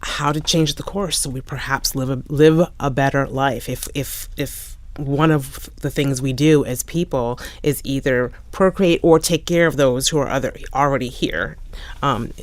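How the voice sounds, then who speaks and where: A middle-aged woman, a playwright in a panel discussion, speaking haltingly and reflectively as she searches for words. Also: a bass voice, dry recording. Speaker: playwright, interview guest.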